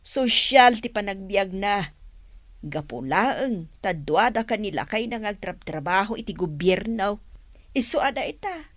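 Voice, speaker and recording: An actress playing a frank, curious older auntie in a radio drama, expressive and gossipy. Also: female voice, medium pitch, unhurried, no echo, natural voice.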